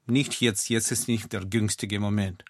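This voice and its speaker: A man's voice: lowish voice, fairly even intonation, a little slow, no room sound, studio quality. A man in his sixties from Ukraine, a non-native speaker with a Ukrainian accent, explaining his own past as an interview guest. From Kyiv, Ukraine. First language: not German. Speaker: podcast guest. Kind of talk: personal recollection in an interview. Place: studio.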